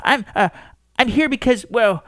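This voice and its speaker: A man's voice: very high voice, moderate pace, a bit bright, dry recording, clean studio sound, voice pushed up. A man voicing a nervous old male astronomer who stammers and hesitates.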